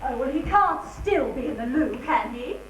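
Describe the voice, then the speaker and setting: A woman's voice: high voice, animated, normal speed. A woman on stage in an amateur comedy, playing a clingy, insecure, melodramatic lover with a tearful, wailing delivery, in an echoey theatre. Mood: fretful. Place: theatre stage, recorded live. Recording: echoey hall recording with faint hum.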